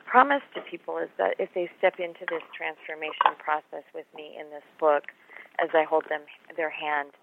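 A woman author, slightly hoarse, talking earnestly and reassuringly about her book in an interview. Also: sits low, normal speed, noisy background. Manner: earnest, reassuring, repeats words. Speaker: author and guest. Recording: phone line audio.

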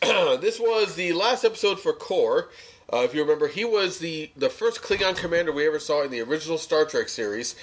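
Higher-voiced man recapping TV episodes. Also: lively intonation, brisk. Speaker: podcast co-host. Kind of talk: casual episode recap chat.

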